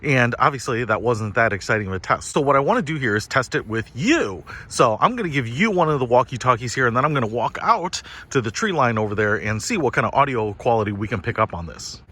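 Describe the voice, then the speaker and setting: A man's voice: mid-range voice, big pitch swings, fast talker, hardly any pauses, sharp tone, slightly rough. A man giving an upbeat, informal product review to camera as the host, with punchy, slangy phrasing.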